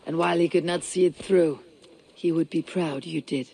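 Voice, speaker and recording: An actor playing a steady, resolute male Viking clan leader, speaking in measured, solemn tones in a game cutscene. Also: fairly high pitch, creaky, slightly rough. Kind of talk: video game cutscene acting.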